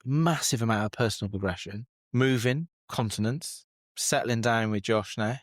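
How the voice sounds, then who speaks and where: A man's voice: medium pitch, normal speed, no room sound, clean studio sound. A man hosting a casual interview, asking friendly, prompting questions. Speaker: podcast host, interviewer. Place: recorded in a workspace studio in London.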